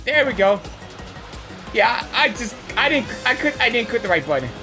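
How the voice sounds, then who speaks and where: A high-voiced woman giving a casual live gameplay reaction. Her pitch moves around a lot and she tends to repeat little phrases as she plays. Faint game music sits behind her. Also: very animated, moderate pace. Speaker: gaming video creator reacting to a game. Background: video game music.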